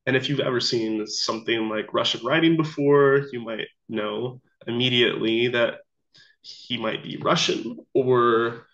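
A young man, sick and a little off voice, walking through a slideshow activity in a casual, halting way with many ums. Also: mid-range voice, fairly even intonation, a bit bright. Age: young adult. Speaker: student teacher presenting an activity. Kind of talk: recorded slideshow walkthrough. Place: small room indoors. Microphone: laptop webcam mic. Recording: dull lo-fi video call audio.

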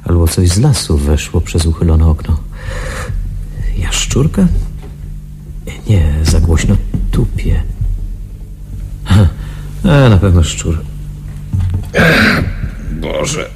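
An actor playing a restless, suspicious patient in a Polish science fiction radio drama, questioning and muttering to himself. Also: male voice, low-pitched, very animated, slow pace, creaky, pauses often, mellow, faint music behind, exaggerated voice. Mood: uneasy, suspicious. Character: restless, suspicious male patient in a sci-fi sanatorium.

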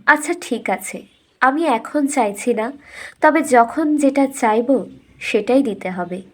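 A woman's voice: fairly high pitch, animated, slow. A woman voicing a clever, determined young princess in a storybook cartoon, polite but insistent. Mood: coaxing.

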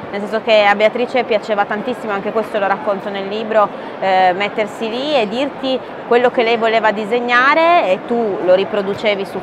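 A woman's voice: medium pitch, noisy background. A woman in her thirties, an author answering interview questions earnestly and fluently, with frequent fillers, on a lapel mic. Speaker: author interviewed at a book fair. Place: busy indoor book fair hall.

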